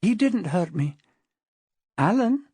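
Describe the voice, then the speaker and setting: A woman as a high, placating, sing-song wife. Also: very animated, slow pace, dark tone, husky, no echo, exaggerated voice. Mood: soothing. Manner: sing-song, consoling, almost hypnotic. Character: placating woman soothing her dangerous ex-husband, sing-song.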